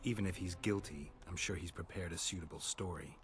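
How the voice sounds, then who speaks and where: An actor playing a gruff, blunt, world-weary monster hunter in a video game, speaking tersely and dryly. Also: male voice, low-pitched, fairly quick, background noise, close to an everyday voice. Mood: dry, challenging. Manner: terse, dry, questioning. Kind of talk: video game dialogue acting.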